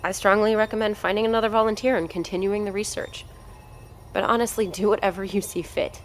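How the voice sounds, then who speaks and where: An actress playing an arrogant, impatient research doctor, haughty and cutting in an audio drama. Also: female voice, medium pitch, fairly even intonation, a little fast, a little hiss or hum, close to an everyday voice. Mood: cool, dismissive. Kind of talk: scripted audio drama dialogue.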